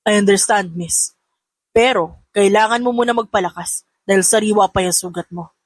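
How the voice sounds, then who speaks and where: Female narrator as caring male doctor: lowered, measured, gently firm, reassuring, close dry sound. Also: no echo, lightly acted.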